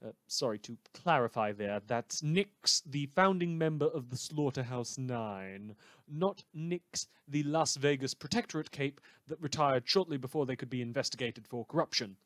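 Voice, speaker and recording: A man's voice: medium pitch, expressive, normal speed, fairly bright tone, no room sound, lightly acted. A man playing a smug, rambling small-town radio news host in a fan audio drama, theatrical and wry, repeating phrases for emphasis. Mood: wry, musing.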